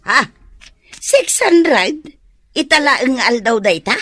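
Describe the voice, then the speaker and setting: A middle-aged, money-minded mother with an Ilocano accent. She talks slowly in a highish, lively voice, as in a radio comedy drama. The recording is very dry. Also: dry recording, faint music behind, full character voice. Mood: surprised, probing.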